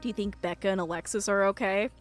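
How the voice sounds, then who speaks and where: A woman's voice: medium pitch, fairly even intonation, fast. A woman streaming a visual novel, reading it aloud with casual, jokey asides. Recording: music behind the voice.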